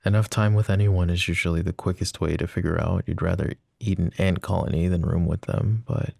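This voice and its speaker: Man playing a possessive mafia boss, very low, raspy fry, soft confessional whispers, faint music behind. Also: fairly even intonation, brisk, no room sound, clean studio sound, heavily acted. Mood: tender, yearning. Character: lovesick, possessive young male mafia boss. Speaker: voice actor. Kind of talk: ASMR roleplay.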